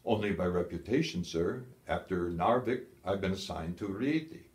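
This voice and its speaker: A male narrator acts out an anxious German colonel from a wartime audiobook, clipped and deferential toward authority. The voice is on the low side and sounds roomy and echoey. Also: lively intonation, moderate pace, darkish tone. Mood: deferential, guarded. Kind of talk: audiobook character dialogue.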